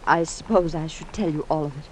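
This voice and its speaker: A woman's voice: low voice, brisk, darkish tone, close to an everyday voice, low-quality recording. An American woman acting an anxious, ambitious secretary in an old-time radio suspense drama, with an earnest, melodramatic delivery. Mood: confiding. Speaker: actress playing the secretary. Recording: vintage radio drama, faint background noise.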